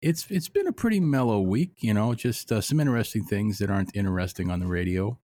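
Low-voiced joking podcast co-host, a man. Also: lively intonation, quick pace, mellow, dry recording. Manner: dry, joking. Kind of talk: casual podcast chat.

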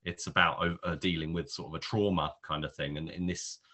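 Deep, bright-voiced man, rambling. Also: fairly even intonation, a little fast. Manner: rambling, hesitant, thinking aloud with trailing clauses. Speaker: podcast film reviewer. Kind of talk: casual spoken film review.

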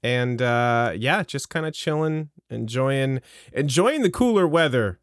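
A man's voice: medium pitch, expressive, a little slow, no echo, studio quality. Sarcastic nasal-sounding podcast host man. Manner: teasing, sarcastic, swearing. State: nasal from a cold. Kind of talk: casual banter.

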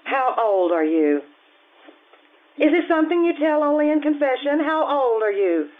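An actress playing a blunt, warm-hearted Italian innkeeper in an old-time radio drama, earthy and frank in manner. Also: female voice, high voice, sing-song, moderate pace, phone-quality sound. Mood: blunt, bossy.

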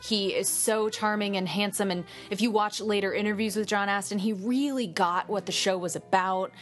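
A woman's voice: medium pitch, moderate pace. Woman cohost gushing about actors, lots of mm-hmms, faint music under her. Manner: gushing, animated, lots of agreeing fillers. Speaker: podcast co-host. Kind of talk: casual film discussion.

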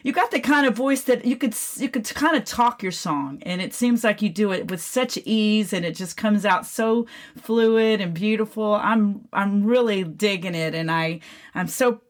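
A woman's voice: mid-range voice, moderate pace. A middle-aged American woman hosting a casual radio interview, chatty and effusive as she talks. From Florida, United States.

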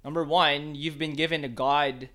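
A man's voice: a little high, fairly even intonation, moderate pace, pushed and bright. A man from the Philippines who works as a photographer, chatting casually and filling his talk with "like" and "man". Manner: laid-back, many fillers like "like" and "man". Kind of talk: casual chat.

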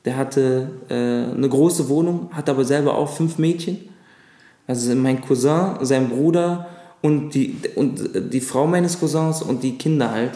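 A man in his thirties from Lebanon, whose first language is Arabic, recalling his childhood as an interview guest with frequent pauses and fillers. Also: mid-range voice, warm tone. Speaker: guest, actor. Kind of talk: casual interview chat. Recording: echoey room.

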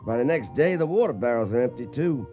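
A man's voice: medium pitch, lively intonation, fast talker, lo-fi sound, faint music behind, lightly acted. An actor playing a former cowhand reminiscing in folksy style as he narrates a Western radio drama. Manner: folksy storytelling. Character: reminiscing folksy male former cowhand telling his tale.

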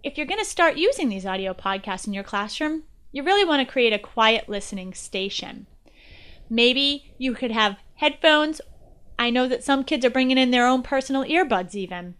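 A woman's voice: high voice, expressive, moderate pace, fairly bright tone, clear, resonant tone. An American woman guest-hosting a podcast, giving a scripted educational presentation in a chatty manner.

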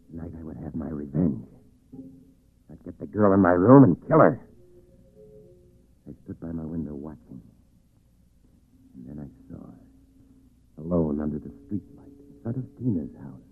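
An actor playing a vengeful, murderous weaver in a 1940s radio drama, intense and gloating. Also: male voice, a bass voice, lively intonation, moderate pace, pauses often, slightly rough, full character voice. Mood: brooding, gloating. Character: vengeful murderous weaver luring a woman, brooding and obsessive. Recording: noisy, muffled 1940s radio recording.

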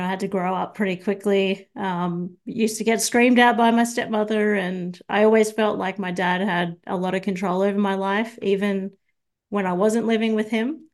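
A young woman with an Australian accent talks as a podcast guest. She speaks hesitantly, filling her pauses with ums and letting her phrases trail off softly. Her voice sits in a middle register. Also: mid-range voice. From Sydney, Australia. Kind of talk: casual interview chat.

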